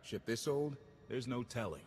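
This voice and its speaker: An actor playing a plain-spoken former warship captain in a game cutscene. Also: male voice, mid-range voice, expressive, moderate pace, darkish tone, slight background noise, natural voice. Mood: serious. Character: blunt former warship captain, earnest man. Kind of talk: video game cutscene acting. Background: game music.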